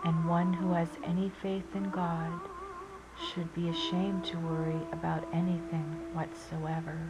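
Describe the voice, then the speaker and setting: A woman narrator reading inspirational sayings in a measured, deliberate and solemn manner. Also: fairly low pitch, flat delivery, highs cut off. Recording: loud music under the voice. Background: Indian classical flute music.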